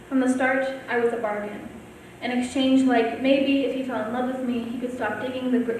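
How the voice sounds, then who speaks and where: A teenage girl, a high school student, reciting her own poem at a reading. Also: darkish tone. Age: teens. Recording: very echoey room, distant mic, faint noise.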